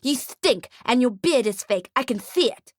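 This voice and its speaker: A woman voicing a mean, demanding rich little girl in a storybook, defiant and petulant, given to shouting. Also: a little high, animated, normal speed, no echo, studio quality, pitched above the performer's own voice, natural voice. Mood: rude, shouting.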